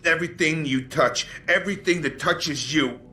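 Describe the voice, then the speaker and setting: A man playing a wounded, bitter investigator in a video game drama, halting and sardonic as he struggles through pain. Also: a little high, sharp tone. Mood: bitter, woozy, mocking. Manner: halting, sardonic, repeating himself. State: in pain, slurring and faltering. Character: gravely wounded, bitter, foul-mouthed older investigator on morphine.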